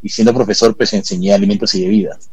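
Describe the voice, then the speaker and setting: A man born in England and raised in South America, a whisky expert guest talking in a measured, explanatory way. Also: fairly low pitch, fairly even intonation, darkish tone, slightly rough, low-quality recording. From born in England, raised in Peru, Ecuador and Colombia. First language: Spanish. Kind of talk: interview conversation.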